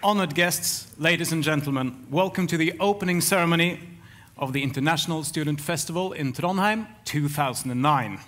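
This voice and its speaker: A man's voice: a little high, brisk, fairly bright tone, a little hiss or hum. A man making a formal, declamatory announcement as master of ceremonies at an opening ceremony.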